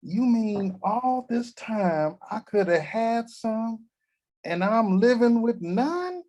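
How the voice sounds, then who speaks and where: An actor playing a crude, cranky old grandfather at a table read, talking gruff and vulgar. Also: very high voice, expressive, slow, darkish tone, heavily acted.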